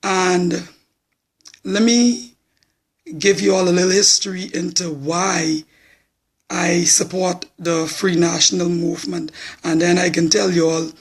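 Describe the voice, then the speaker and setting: A hoarse man in his forties with a Bahamian accent, talking bluntly and plainly to viewers on a live social media stream. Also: high-pitched, level tone, a little slow. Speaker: political supporter.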